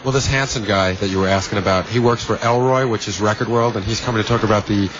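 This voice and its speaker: A low-voiced man who rattles off facts quickly and plainly during radio banter. His audio is dull and lo-fi, with faint background noise. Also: a bit bright. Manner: informative, quick, matter-of-fact. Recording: dull lo-fi tape, faint noise, mic sometimes off.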